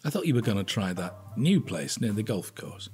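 A British actor playing a dry, weary older detective inspector at home in an audio drama. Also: male voice, fairly low pitch, big pitch swings, a little fast, a little mellow, soft background music. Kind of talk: naturalistic audio drama dialogue.

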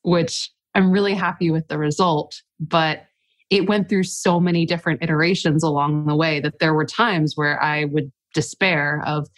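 A woman's voice: deep voice, fairly even intonation, normal speed, no echo. A woman author and coach, slightly stuffy from a cold, telling her story earnestly as a podcast guest. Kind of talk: casual podcast interview.

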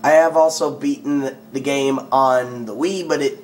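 A man with a hoarse, lost voice and a cough, giving rambling casual commentary on a video game. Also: mid-range voice, steady tone, normal speed, soft background music. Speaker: gaming video host. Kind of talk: let's play commentary.

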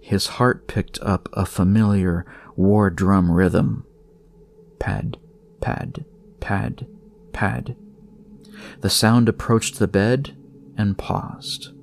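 A man narrating scripted horror fiction in a measured way, with dramatic pauses. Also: fairly low pitch, fairly even intonation, vocal fry, darkish tone, slight background noise.

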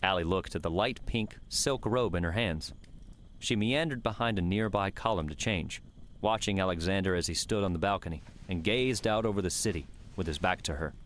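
Male audiobook narrator, low register, scripted third-person storytelling over faint background noise. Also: normal speed, fairly bright tone.

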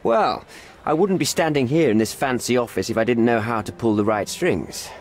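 An actor playing a self-important, cynical middle-aged diplomat in a video game, pompous and self-satisfied. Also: male voice, medium pitch, normal speed, natural voice. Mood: boastful. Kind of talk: video game cutscene acting.

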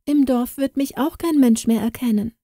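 A woman voicing a poor young girl in a fairy tale, speaking high, pleading and breathless. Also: normal speed, dark tone, dry recording, clean studio sound. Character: poor young strawberry girl, frightened and earnest.

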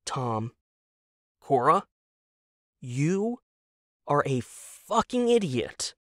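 A man voicing a scornful, exasperated wife in an audio drama, spelling out her disbelief with slow emphasis. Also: a little high, sing-song, no room sound, clean studio sound, pitched above the performer's own voice, full character voice. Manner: incredulous, slow emphatic delivery. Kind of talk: audio drama character dialogue.

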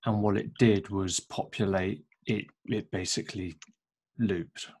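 A man taking part in an online lesson, sharing an observation and asking a question in a halting, thoughtful way. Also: on the low side, fairly even intonation, darkish tone, husky. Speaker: tutorial participant. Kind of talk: casual question on a video call. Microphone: video call microphone.

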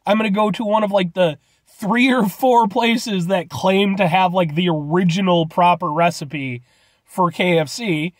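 A man's voice: high-pitched, unhurried, dry recording, studio quality. A man in his thirties, a little stuffed up from a cold, rambling through a casual food review vlog from his parked car, talking into a phone held at arm's length. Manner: rambling, animated, gestures a lot. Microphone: phone camera at arm's length.

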